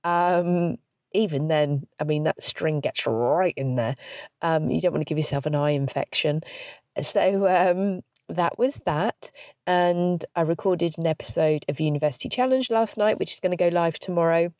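Woman host, slightly low voice, a bit stuffy from hay fever, cheeky self-mocking chat, dry close recording. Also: normal speed, darkish tone, no echo. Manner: chatty, cheeky and self-deprecating, laughing often. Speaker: podcast host. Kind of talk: casual solo podcast chat. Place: parked car.

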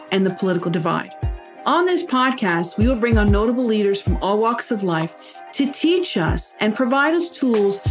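A Norwegian-born woman hosting an interview podcast, bilingual, with enthusiastic, quick exclamations. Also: mid-range voice. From Norway. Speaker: podcast host.

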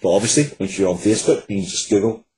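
A low-voiced man in his forties speaks with a Northern Irish accent. He gives thoughtful, halting answers in a conversational interview, with some noise behind him. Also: lively intonation, dark tone. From Northern Ireland. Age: 40s. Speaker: interview guest, writer.

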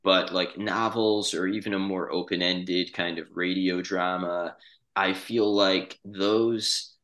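A man hosting a casual podcast discussion, earnest and halting as he works through his points. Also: low-pitched, steady tone, a bit bright. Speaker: podcast host.

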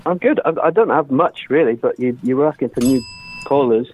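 A man's voice: mid-range voice, a little fast, darkish tone, slight background noise. A man calling in to a radio show, talking in a hesitant, friendly way. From Manchester, England. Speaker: caller. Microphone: telephone. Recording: phone-line call.

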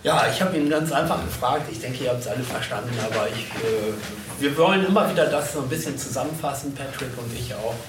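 Man, live event moderator, halting and wordy, roomy distant sound, clear background noise. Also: medium pitch. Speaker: stage host and interpreter. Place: echoey convention hall. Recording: echoey, distant, noisy event recording.

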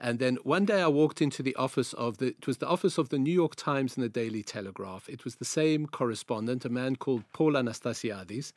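A man in his sixties with a South African accent tells stories from his working life in a calm, measured way. His voice sits at an ordinary middle pitch. The sound is dry and close, with no room around it. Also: no echo. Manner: measured, anecdotal, repeats phrases. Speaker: guest, veteran journalist. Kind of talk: interview, storytelling.

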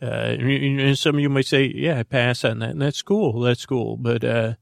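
A man with a gravelly, fry-laden voice hosts a bedtime podcast in a very dry recording. He improvises whimsically, wandering off track and trailing away mid-thought. The delivery is halting and goofy, meant to lull a listener to sleep. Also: medium pitch, steady tone, dry recording. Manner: meandering, halting, trails off and loses the thread. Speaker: podcast host. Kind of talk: rambling improvised bedtime monologue to help listeners fall asleep.

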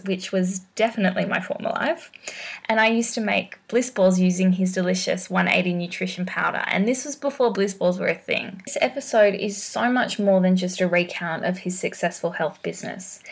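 A woman hosting a podcast, reading an enthusiastic scripted intro. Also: medium pitch. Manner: upbeat, enthusiastic. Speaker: podcast host.

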